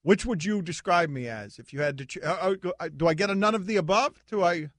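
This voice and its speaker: A man hosting a sports radio talk show, arguing loudly and excitably with a somewhat whiny voice. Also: high-pitched, quick pace, no room sound, studio quality. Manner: loud, excitable, animated. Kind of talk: heated sports talk debate.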